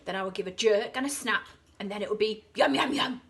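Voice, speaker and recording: Greedy storybook crocodile, male, scheming, slow gloating delivery, bright voice, woman putting him on. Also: mid-range voice, gravelly.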